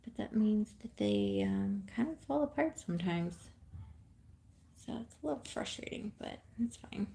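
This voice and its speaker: A woman's voice: mid-range voice, animated, normal speed, creaky, warm tone. A woman in her thirties from California, chatting casually on a live stream at home while she knits, rambling with many ums and small laughs.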